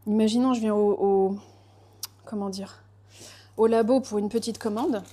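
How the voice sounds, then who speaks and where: A woman's voice: mid-range voice, fairly even intonation, moderate pace, mellow. A young woman from France chatting casually while she bakes in her kitchen workshop, hesitant, with many fillers and restarts. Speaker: pastry baker vlogger. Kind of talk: casual chat while baking.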